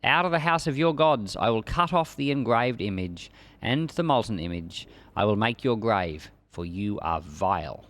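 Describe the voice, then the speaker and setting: A man's voice: lowish voice, lively intonation, normal speed, a bit bright. A man preaching and teaching from the Bible in an emphatic, conversational way. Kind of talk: Bible reading and informal expository sermon.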